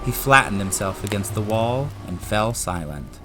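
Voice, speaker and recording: Male audiobook narrator, lowish pitch, scripted storytelling over a clear music bed. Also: lively intonation, moderate pace, poor audio.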